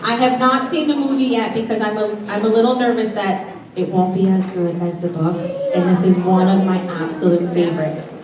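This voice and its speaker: A woman teacher addressing young children before a read-aloud, asking simple questions and explaining deliberately. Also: medium pitch, steady tone, recorded from a distance, crowd noise. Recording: echoey room from afar, faint noise.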